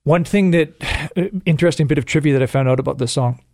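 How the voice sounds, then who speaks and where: A man's voice: fairly high pitch, fast talker, a little mellow, no echo. A Canadian man co-hosting a casual music podcast, sharing trivia and laughing often. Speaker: podcast co-host.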